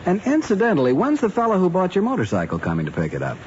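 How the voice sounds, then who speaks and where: A man's voice: high-pitched, huge pitch swings, very fast, darkish tone. An actor playing a stern, suspicious middle-aged American father, formal and drily sarcastic, in a family drama. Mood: suspicious, irritated. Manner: formal, exacting, dry sarcasm. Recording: muffled old recording, faint noise.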